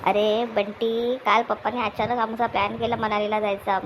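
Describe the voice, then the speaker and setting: A woman voicing an excitable, playful young son in a cartoon family comedy, with an eager childlike manner. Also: fairly high pitch, moderate pace, close to an everyday voice, rough recording. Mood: chatty. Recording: clear background noise, ambience.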